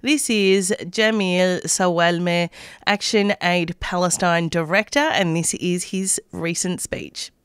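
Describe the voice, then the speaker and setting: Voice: mid-range voice, steady tone, slow, fairly bright tone, smooth, no room sound, clean studio sound. A radio news presenter, reading scripted news stories.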